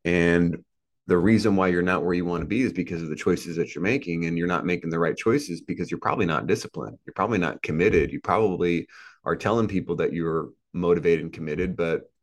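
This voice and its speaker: This is a man in his thirties with a low-pitched voice. He talks like a motivational podcast guest, earnest and emphatic. He builds his points through repetition and checks in with short rhetorical tags. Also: a little fast. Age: 30s. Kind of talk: motivational interview talk.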